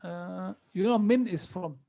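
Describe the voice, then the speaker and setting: A high-pitched man teaches a class. He repeats each phrase slowly and keeps asking his students questions. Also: vocal fry, warm tone. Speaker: Arabic teacher.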